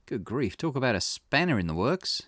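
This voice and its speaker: A man's voice: medium pitch, big pitch swings, moderate pace, a bit bright, no room sound, studio quality, pitched above the performer's own voice. Man acting a wry, probing private investigator. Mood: wry, concerned. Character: male private investigator, wry, inquisitive and businesslike. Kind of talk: visual novel dialogue reading.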